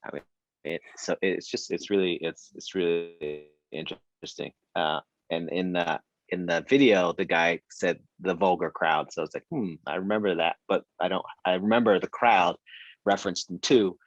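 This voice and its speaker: A man's voice: low voice, normal speed, dry recording. A man talking hesitantly and eagerly in a casual group discussion, full of fillers and restarts. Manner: hesitant, many fillers, enthusiastic. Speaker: reading group participant. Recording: dull lo-fi dry sound.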